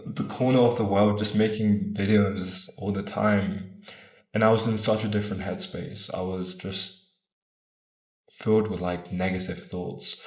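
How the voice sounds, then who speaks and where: Man in his twenties with a deep voice, earnest and rambling, talking to camera in a roomy space. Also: darkish tone. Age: 20s. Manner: earnest, rambling, often adds "right?"; casual swearing. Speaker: vlogger. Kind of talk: casual talk to camera. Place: room in Bogota.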